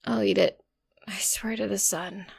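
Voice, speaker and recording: A woman plays a young storybook mare, shaken but stubborn, telling her tale in a medium-pitched voice with a creaky edge. She is wry and informal, like someone confiding in a friend. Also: sing-song, measured pace, no echo, studio quality, exaggerated voice. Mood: weary, wary. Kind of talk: first-person dramatic story narration.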